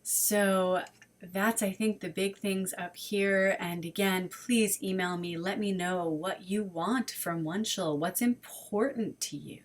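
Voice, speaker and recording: A woman's voice: medium pitch, steady tone, slow. A woman who leads an online prayer service, making earnest, inviting announcements and listing ideas in long runs. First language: English. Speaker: program director and service leader.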